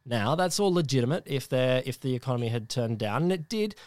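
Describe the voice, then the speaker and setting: A man's voice: medium pitch, expressive, brisk, a little mellow, dry recording. An Australian man co-hosting a casual political chat podcast, sardonic and rambling, often restarting his sentences. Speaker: podcast co-host.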